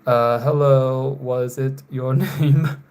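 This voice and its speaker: Young male streamer from North Carolina, unhurried, laid-back chat, drifts quieter at the ends of phrases, apologetic. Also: medium pitch, fairly even intonation, smooth. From North Carolina, USA. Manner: slow, trailing off quietly, apologetic about names. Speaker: live streamer. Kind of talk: casual live stream chat while drawing.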